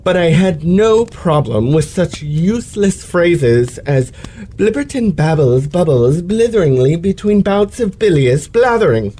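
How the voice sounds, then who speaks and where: An actor as a pompous, aggrieved gentleman lecturer. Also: fairly high pitch, lively intonation, measured pace, slight background noise. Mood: aggrieved, theatrical. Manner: florid, theatrical, precise elocution. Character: pompous, aggrieved male lecturer, florid and self-pitying. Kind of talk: old-fashioned radio play acting.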